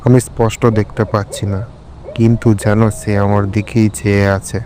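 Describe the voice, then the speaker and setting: A man narrating a scripted horror story in the first person, in a measured, solemn storytelling manner. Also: on the low side, little pitch movement, dark tone, slight background noise.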